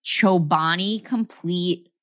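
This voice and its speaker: A woman's voice: on the low side, slow, fairly bright tone. A young American woman hosting a podcast, chatting casually and effusively in informal slang. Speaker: podcast host. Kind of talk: casual interview chat.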